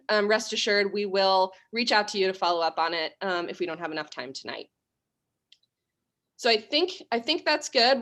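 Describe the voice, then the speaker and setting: A woman's voice: fairly high pitch, a bit bright. A woman hosting a virtual school open house over a video call, laying out each step of the event plainly and moderating a panel. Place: home or office on a video call.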